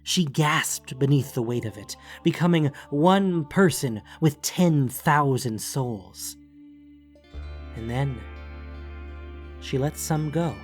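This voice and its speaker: A man narrating a fantasy audiobook in a solemn, dramatic, deliberate manner. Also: medium pitch. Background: music.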